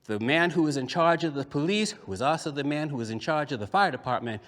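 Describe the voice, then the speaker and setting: A man with a fairly high voice tells a story to children in a big reverberant hall. His delivery swings widely in pitch. He stresses and repeats phrases for dramatic effect, stretching out key words. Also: animated, fairly quick, a bit bright. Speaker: puppeteer and storyteller. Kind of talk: animated storytelling performance for children. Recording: echoey hall with long reverb.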